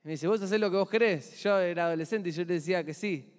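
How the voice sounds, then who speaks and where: A young Argentine man preaches in a casual, talkative way and keeps putting questions to the congregation. His voice sits high for a man. It rings with a long reverb from a church hall. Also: fast. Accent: Argentine Spanish. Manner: chatty, asks the congregation questions. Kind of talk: conversational sermon.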